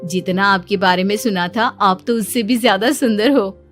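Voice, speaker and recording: A woman's voice: mid-range voice, normal speed, a bit bright, soft background music, lightly acted. A woman voicing a polite, cheerful young female cousin. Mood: friendly.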